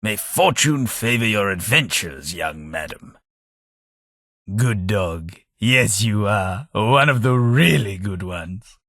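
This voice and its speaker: An actor playing a polite, wry British ghoul servant, centuries old, in a video game, with a husky voice. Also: male voice, lowish voice, animated, slow pace, fairly bright tone, no echo, studio quality, full character voice. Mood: gracious. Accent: British English.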